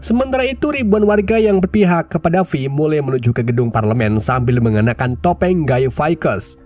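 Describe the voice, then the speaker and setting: A man recaps a film in a lively, casual storytelling voice. His pitch rolls up and down freely. A head cold leaves him nasal and stuffed up, with faint music underneath. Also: fairly quick.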